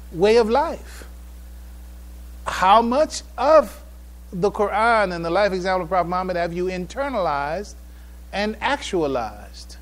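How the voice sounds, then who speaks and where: A man giving a religious public address, halting and repeating phrases for emphasis. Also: high voice. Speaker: speaker addressing a mosque congregation.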